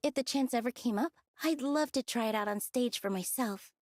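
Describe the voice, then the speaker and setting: A woman voicing a modest, gentle desert mercenary in a video game, earnest and self-effacing. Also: on the high side, fairly quick, natural voice. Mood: sincere. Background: background music.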